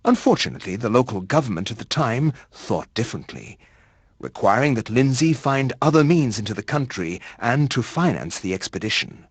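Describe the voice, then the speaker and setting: An actor playing a learned chronicler, narrating a horror game in a grave, measured and literary manner. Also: male voice, medium pitch, big pitch swings, slightly rough, no room sound.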